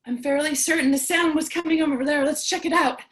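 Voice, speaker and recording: Actress as high-voiced, anxious last woman on earth. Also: fairly even intonation, brisk, lightly acted. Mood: anxious, indignant. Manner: dramatic, neurotic, rambling. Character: lonely, anxious, chatty woman surviving the apocalypse. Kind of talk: staged reading of a theatrical fable.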